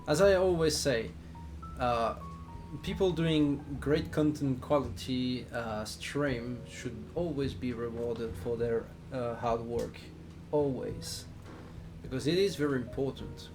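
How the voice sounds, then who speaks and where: Slow-talking man with a Swiss accent. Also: mid-range voice, over music. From Switzerland. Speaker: flight simulator streamer. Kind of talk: casual live stream chat while playing.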